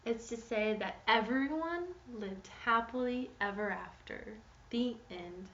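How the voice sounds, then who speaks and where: Female teacher for an interactive picture-book read-aloud, expressive storyteller, echoey and slightly muffled room sound. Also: fairly high pitch, measured pace, far from the mic, slight background noise. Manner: expressive storytelling, posing questions to young listeners.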